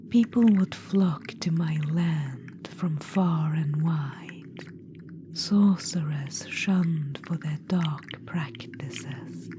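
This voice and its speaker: A woman voicing a vain undead witch-queen necromancer in an ASMR roleplay, whispering softly and calling the listener darling. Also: deep voice. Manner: soft intimate whisper, calls listener darling; smug, boastful, self-satisfied. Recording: whisper with steady background noise.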